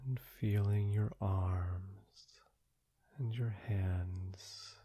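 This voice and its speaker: A man guides a sleep meditation extremely slowly, leaving long pauses between short, soothing phrases. His voice is low and husky, gentle and hushed, often dropping toward a whisper. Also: steady tone. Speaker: meditation guide, podcast host.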